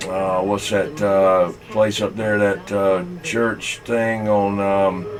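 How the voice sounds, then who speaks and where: A man with a Southern US accent telling folksy, halting, rambling stories in casual talk over CB radio. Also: on the low side, flat delivery, music in the background. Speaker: CB radio operator.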